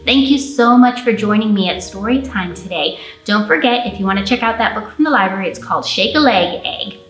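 A woman's voice: mid-range voice, animated, brisk, soft background music. An American woman in her thirties speaking as a children's storytime host, explaining things simply and encouragingly to little kids. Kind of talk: storytime talk for young children. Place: indoor room with a painted mural. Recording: roomy echo, camera at a distance.

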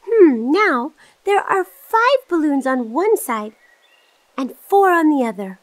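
A woman's voice: high voice, sing-song, no echo, full character voice. A woman voicing a cheerful, curious little girl who kindly guides young viewers in a children's cartoon. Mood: excited, encouraging. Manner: slow, clearly enunciated, questioning, exclaiming. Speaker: host character of a children's cartoon.

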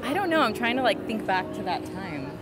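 A woman's voice: medium pitch, big pitch swings, fairly quick, a loud crowd. A woman in her early thirties from California chatting casually in an outdoor interview. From Chico, California, US. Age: 30s. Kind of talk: casual chat. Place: outdoors in a public spot. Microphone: handheld interview mic. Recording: loud music over outdoor sound.

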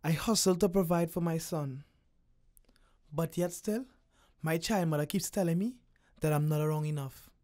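A man with a Trinidadian accent voicing a young hustler's quiet voice-over about his family. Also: fairly high pitch, moderate pace, close to an everyday voice. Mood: reflective. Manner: quiet, reflective. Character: young male dealer reflecting on family. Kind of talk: voice-over narration.

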